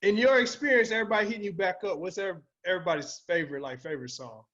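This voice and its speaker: A man with a fairly high voice hosts a casual podcast interview. His talk is slangy and profane, and the recording sounds somewhat dull and lo-fi. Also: rapid-fire, fairly bright tone. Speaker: podcast host.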